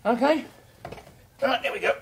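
An actor playing a callous, sarcastic, bullying husband with a British accent in a radio drama. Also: male voice, very high voice, moderate pace, vocal fry, very noisy. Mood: coaxing, amused. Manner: hectoring, sarcastic, clipped repetitions. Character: callous, bullying, sardonic husband, impatient and scheming.

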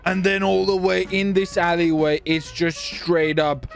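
An excitable man giving giggly gameplay roleplay commentary, often repeating himself. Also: high voice, measured pace, vocal fry, soft background music. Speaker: gaming video creator.

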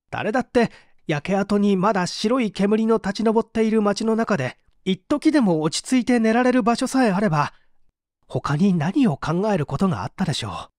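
A man's voice: sits high, no echo, studio quality. A man reading dramatically as a bitter, despairing painter who gives testimony in a formal, confessional way. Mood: earnest, troubled. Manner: confessional, expressive, formal narrative delivery.

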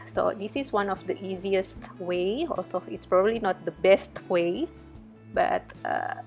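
A young woman from Indonesia, a research fellow, talking viewers through a coding tutorial over a screen recording in a chatty way with many fillers. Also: mid-range voice. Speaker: research fellow teaching data analysis.

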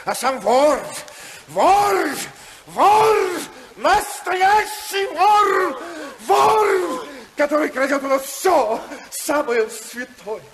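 An actor playing a domineering, indignant senator in a 1950s radio drama, declamatory, sarcastic and accusing. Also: male voice, extremely high pitch, sing-song, extremely slow, a bit bright, exaggerated voice. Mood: outraged, scornful. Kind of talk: 1950s Russian radio play acting.

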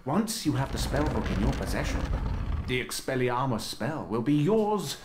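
A man's voice: medium pitch, lively intonation, normal speed, echoey room, background noise, close to an everyday voice. A man playing a flamboyant vain wizard teacher. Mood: grandiose.

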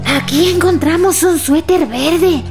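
An earnest, urgent villager in a radio soap opera. The voice is high, with music underneath. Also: female voice, a little slow.

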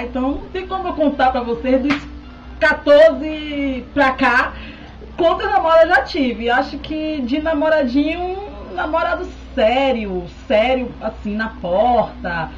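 A woman's voice: high-pitched, animated, measured pace, lo-fi sound, slight background noise. A woman in her thirties chatting casually to a webcam at home, keeping in touch with viewers as she answers questions. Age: 30s. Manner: chatty, keeps checking in with "tá?". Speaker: beauty vlogger. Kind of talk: casual chat to camera. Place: indoors at home, small room. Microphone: webcam close up.

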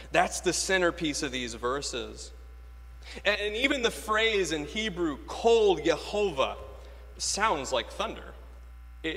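A man's voice: fairly high pitch, fairly bright tone. An American man from Florida, an Anglican priest, preaching a sermon emphatically with rhetorical questions and repeated key phrases. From Florida, United States. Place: large church interior, speaking from a lectern. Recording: echoey church with long reverb.

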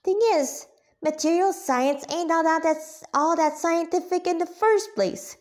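A woman voicing a brash, excitable female cat spirit in a story, slangy and emphatic. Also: extremely high pitch, measured pace, voice pushed up. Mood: indignant.

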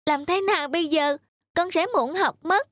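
Woman voicing a very high-pitched schoolchild. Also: normal speed, dry recording, studio quality. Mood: worried. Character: polite, sweet, worried schoolchild. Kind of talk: dubbed cartoon storytelling.

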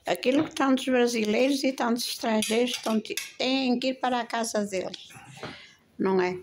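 A woman's voice: fairly high pitch, moderate pace. An older woman with a European Portuguese accent, rambling casually and affectionately to viewers as a home vlogger, recorded on a handheld phone. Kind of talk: casual chat to viewers at the table. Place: home dining room, indoors. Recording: handheld phone, clinking cutlery noise. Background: cutlery and dishes clinking.

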